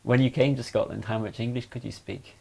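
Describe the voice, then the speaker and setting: A man's voice: mid-range voice, steady tone, brisk, gravelly, mellow. Man interviewing in an echoey room. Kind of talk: interview questions. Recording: echoey room with faint noise.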